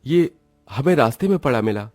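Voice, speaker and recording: A man's voice: medium pitch, expressive, normal speed, darkish tone, dry recording, clean studio sound, natural voice. A man voicing a cautious, protective young father and husband in a moral-story cartoon. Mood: hesitant.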